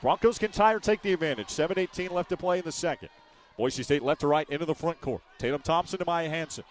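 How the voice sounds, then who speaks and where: A man's voice: fairly high pitch, fast. A man doing live radio play-by-play of a basketball game in clipped, descriptive phrases.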